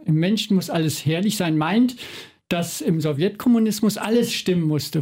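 A man interviewing a writer in a radio studio, asking measured questions with frequent hesitations. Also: high voice, a little mellow.

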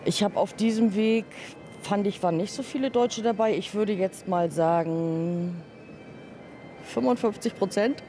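A woman with a northern German accent, interviewed outdoors on a city square. Her voice is warm and dark, and her answers are short and plain. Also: medium pitch, unhurried, faint music behind. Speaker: pilgrim guest. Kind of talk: casual radio interview.

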